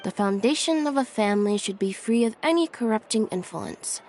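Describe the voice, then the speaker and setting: A woman playing a composed, devoted young woman in a video game, speaking calmly and deliberately. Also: a little high, a little hiss or hum, lightly acted. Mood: calm, serious. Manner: calm, deliberate. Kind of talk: dramatic video game dialogue.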